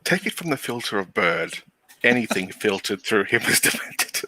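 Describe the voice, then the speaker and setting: A man's voice: lowish voice, very animated, normal speed, bright, forceful tone, dry recording. A man from Europe bantering on a stream in a sardonic, teasing way.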